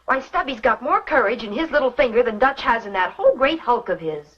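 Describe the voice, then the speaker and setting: A young frontier woman in a radio western, nervous yet outspoken. Her voice is husky and mid-pitched, heard on a muffled old recording. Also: animated, fairly quick, fairly bright tone. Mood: scornful, uneasy. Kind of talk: old-time western radio drama acting.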